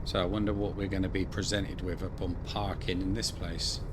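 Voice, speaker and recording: A man's voice: sits low, level tone, a little fast. A man in his fifties giving laid-back commentary while playing a truck driving game, chatting and thinking aloud. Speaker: gaming channel host. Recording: loud truck engine and road noise behind. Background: driving game truck sounds.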